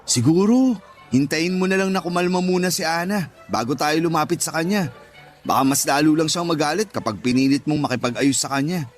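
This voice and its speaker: A man's voice: fairly high pitch, fairly quick, soft background music, natural voice. A man playing a teasing, blunt young co-worker and best friend in a radio drama, joking and scolding as he talks. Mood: advising. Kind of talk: Tagalog radio drama acting.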